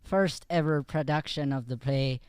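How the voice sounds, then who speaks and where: Male co-host for comedy improv, stage Irish accent, slightly high crisp voice, casual banter, dry close mic. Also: normal speed, a little mellow, no echo. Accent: put-on stage Irish. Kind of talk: casual live-show banter. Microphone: close podcast microphone.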